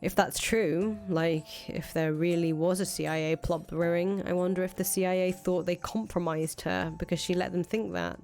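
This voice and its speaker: Woman playing a low-pitched, cynical rebel leader. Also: steady tone, moderate pace, hardly any pauses, darkish tone, lightly acted. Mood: bitter, frustrated. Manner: casual, profane, ruminating aloud. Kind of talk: acted reading of visual novel dialogue. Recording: music under the voice.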